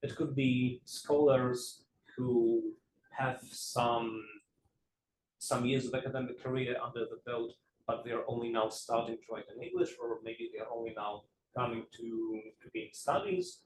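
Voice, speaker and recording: A man in his thirties from Poland, a native Polish speaker, giving an academic talk in a measured, hesitant way with many fillers. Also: mid-range voice, expressive, background noise. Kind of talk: academic lecture. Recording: echoey room, mic at a distance.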